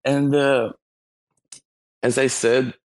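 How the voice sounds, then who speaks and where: A man's voice: medium pitch, little pitch movement, very slow, rough recording. A man from Romania with a Romanian accent, an artist speaking English in a casual chat, nervous and hesitant. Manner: nervous, hesitant, self-conscious. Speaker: artist guest.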